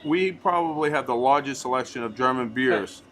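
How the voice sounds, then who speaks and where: A man's voice: medium pitch, moderate pace, a bit bright, slight background noise, poor audio. A man who works at a bar, explaining things in a casual, conversational way. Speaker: bar or restaurant staff.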